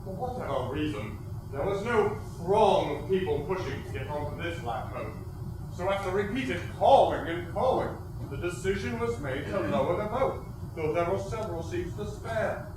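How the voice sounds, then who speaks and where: An actor playing a dignified, indignant older shipping magnate on stage, speaking formally and deliberately. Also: male voice, sits high, expressive. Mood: defensive, stern. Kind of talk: theatrical stage acting. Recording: echoey theatre, distant mic, noisy.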